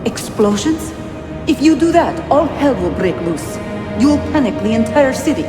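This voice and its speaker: Fiery rebel with a lively voice. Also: female voice, high-pitched, moderate pace. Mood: alarmed. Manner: blunt, impassioned. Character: fiery, headstrong female rebel spy rescuing her men. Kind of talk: dramatic video game acting. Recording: loud music behind.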